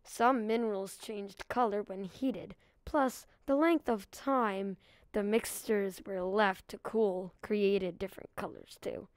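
A woman's voice: mid-range voice, fairly even intonation, slow pace, no room sound. A female reader giving a scripted read-aloud of a children's book.

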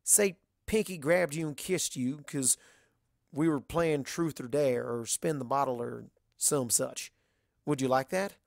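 A frank, coaxing mare pony from a storybook, as put on by a narrator. The voice is folksy and pushy with a gravelly edge, affectionate underneath, and recorded very dry. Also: mid-range voice, unhurried, dry recording.

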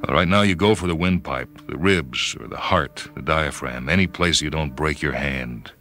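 Very deep-voiced brooding man narrating. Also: moderate pace. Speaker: story reader. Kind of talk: dramatic first-person scripted narration. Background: background music.

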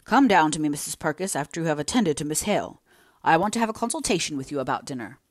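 A woman voicing a kindly old gentleman. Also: deep voice, lively intonation, quick pace, dry recording, clean studio sound. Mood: kind steady. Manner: gentle, reassuring, courteous. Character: kindly older gentleman, calm and fatherly. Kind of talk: audiobook character reading.

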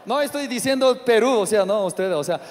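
Korean-accented male stand-up, high voice, non-native halting delivery with shouted bursts, handheld mic in a reverberant theater. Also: animated, some chatter behind, a little hiss or hum. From South Korea. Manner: halting non-native Spanish, filler-heavy, sudden shouted outbursts. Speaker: stand-up comedian. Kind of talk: stand-up comedy set. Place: large theater stage. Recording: live hall reverb with audience.

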